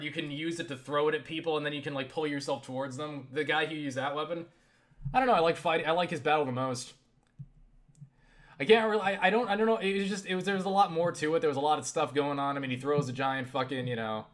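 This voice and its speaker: A man chatting casually and haltingly about games, swearing freely in an animated way. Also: fairly high pitch. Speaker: video creator answering viewer questions. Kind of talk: casual rambling game chat.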